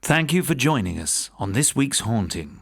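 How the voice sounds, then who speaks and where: A man giving scripted narration of gothic ghost stories as a host, in a theatrical, expressive storytelling manner. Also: medium pitch, moderate pace, vocal fry. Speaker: podcast host and audiobook narrator.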